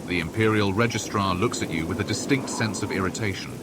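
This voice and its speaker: Low-voiced man acting as fantasy game narrator. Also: brisk, very noisy, natural voice. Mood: descriptive. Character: solemn male storyteller describing a fantasy game scene. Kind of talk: scripted present-tense game narration.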